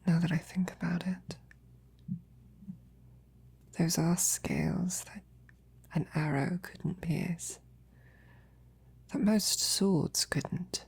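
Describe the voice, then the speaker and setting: Woman doing a flirty devoted lady knight for asmr roleplay, low breathy husky voice, kinda creaky, courtly wording. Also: a little mellow, full character voice. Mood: teasing, flirtatious. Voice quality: breathy, husky, often whispering. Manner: slow, flirtatious, teasing, courtly phrasing. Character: devoted, teasing female knight courting a dragon princess. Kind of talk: intimate roleplay audio, ASMR.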